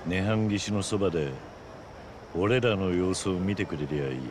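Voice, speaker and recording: Man acting a deep, stoic ex-yakuza leader. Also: noisy background, lightly acted. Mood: grave, composed. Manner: measured, terse, authoritative. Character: stoic, gruff middle-aged male former yakuza, calm leader. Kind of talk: restrained Japanese game cutscene acting.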